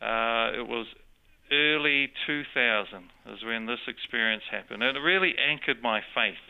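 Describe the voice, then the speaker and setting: A man's voice: mid-range voice, clean tone. A middle-aged man with a New Zealand accent, earnestly telling his faith story in an interview, often restarting his sentences. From born in Australia, grew up in New Zealand. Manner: earnest, halting with repeated restarts. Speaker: interview guest. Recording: phone-like narrow sound.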